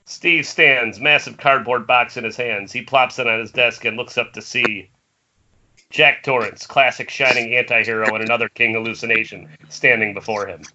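A man's voice: mid-range voice, fairly even intonation, normal speed, pushed and bright. A man narrating the stage directions of a screenplay in an amateur table read. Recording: dull, noisy internet call audio.

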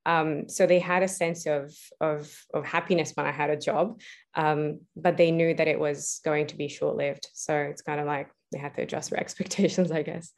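A woman's voice: deep voice, steady tone, normal speed. A young adult woman being interviewed as an entrepreneur, reflecting aloud with many fillers and restarts. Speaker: guest, entrepreneur. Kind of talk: casual interview answers.

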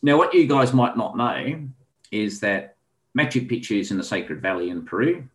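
A man's voice: medium pitch, animated, moderate pace. An Australian man telling a long travel story in casual chat, with many ums and restarts. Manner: rambling with frequent ums and restarts. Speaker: guest.

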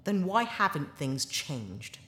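An actress in a sci-fi audio drama playing a principled, stubborn, guarded heroine, earnest and blunt. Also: female voice, low-pitched, big pitch swings, takes it slowly, fairly bright tone, heavily acted. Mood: reluctant.

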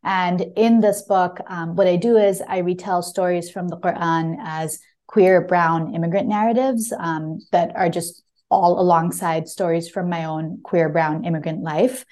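A woman's voice: on the low side, fairly even intonation, unhurried, a little mellow. A woman talking as a guest author about a memoir, reflective and conversational, with frequent small hedges. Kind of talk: casual book talk and reading from own memoir.

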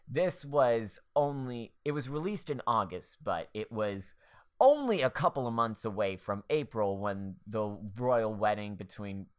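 An American woman in her twenties co-hosting a casual comedic movie podcast, sarcastic and exasperated, with plenty of swearing. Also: very deep voice. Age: 20s. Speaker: podcast co-host.

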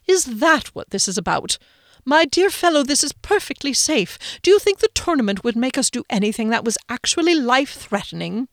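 A woman doing a pompous gentleman schemer. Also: high-pitched, very animated, fairly quick, no room sound, clean studio sound, voice pushed up. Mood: pompous coaxing. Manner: pompous, exasperated, old-fashioned.

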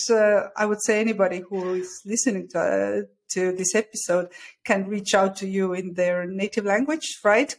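A woman from Estonia with an Estonian accent, hosting a podcast interview with enthusiastic questions. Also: mid-range voice. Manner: enthusiastic, laughing easily. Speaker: podcast host.

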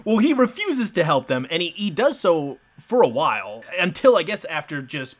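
A young man casually recapping a film on a podcast, animated and swearing, laughing often. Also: a little high, normal speed. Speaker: podcast co-host. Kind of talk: casual movie recap chat.

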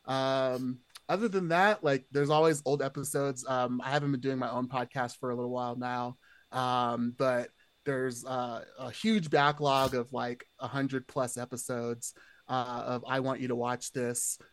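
A man's voice: mid-range voice, little pitch movement, measured pace, no room sound. A man chatting casually as a podcast guest, rambling along and peppering his sentences with "like". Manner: rambling, heavily hedged with "like".

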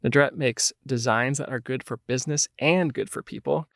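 A man's voice: mid-range voice, moderate pace, a bit bright, no echo, clean studio sound. A man hosting a design podcast, reading an upbeat scripted intro and then chatting casually with his guest. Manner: upbeat, reads announcements then chats loosely. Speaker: podcast host.

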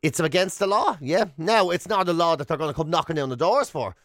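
A man's voice: a little high, a little fast, a bit bright, no echo, clean studio sound. An Irish man co-hosting a radio show, bantering comically with a teasing, mock-accusatory way of talking. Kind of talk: bantering comedic chat. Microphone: close studio microphone.

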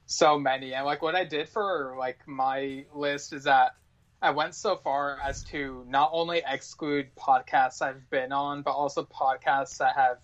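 A man chatting casually as a podcast guest, halting and full of verbal filler. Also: medium pitch, fairly even intonation, fairly bright tone, lo-fi sound. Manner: halting, peppers talk with "like".

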